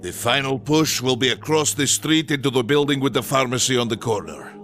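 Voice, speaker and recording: An actor playing a stern, rousing Soviet army commander, giving an emphatic battle briefing in a video game. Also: male voice, mid-range voice, brisk, close to an everyday voice. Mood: grim, determined. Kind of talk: dramatic military briefing, video game cutscene. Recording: music behind the voice. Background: loud music.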